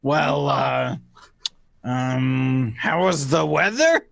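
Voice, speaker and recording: A man's voice: mid-range voice, lively intonation, extremely slow, gravelly, fairly bright tone, no room sound, pitched below the performer's own voice, exaggerated voice. A man playing an affable, slightly baffled friend in a comic radio play, solicitous and hesitant.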